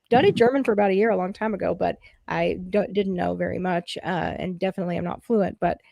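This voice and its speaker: An American woman calmly explaining things in a matter-of-fact, step-by-step way in a casual chat. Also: fairly low pitch, fast, creaky, no room sound. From United States.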